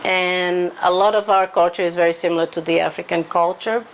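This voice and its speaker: A woman from Brazil, a guest cook who talks through a recipe in a relaxed way, laughing easily. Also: lowish voice, a little fast, a little hiss or hum. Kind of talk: casual cooking chat. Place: home kitchen.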